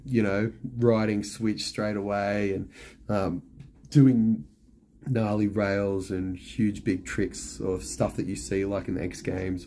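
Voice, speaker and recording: Hoarse man chatting on a live stream. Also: fairly low pitch, warm tone. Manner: rambling, full of ums and you-knows. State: hoarse, losing his voice. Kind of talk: casual live stream chat.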